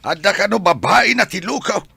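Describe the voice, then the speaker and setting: A man acting an irritable, excitable village gossip in a radio drama, grumbling in a rough, raspy voice. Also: sits high, moderate pace, heavily acted.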